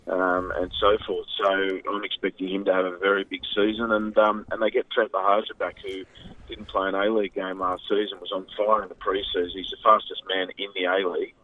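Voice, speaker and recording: A man's voice: sits low, little pitch movement, brisk, no echo. A man with an Australian accent, a football pundit chatting on a radio show, enthusiastic and rambling with plenty of fillers. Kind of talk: radio phone-in commentary. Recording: tinny phone line.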